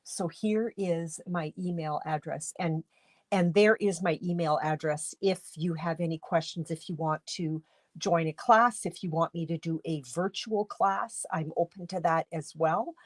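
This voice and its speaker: A woman from Canada hosting a casual craft livestream from her home studio, congested with a cold, chatty and rambling, often clearing her throat. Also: fairly low pitch, steady tone, measured pace, no room sound. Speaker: livestream host and stamping demonstrator.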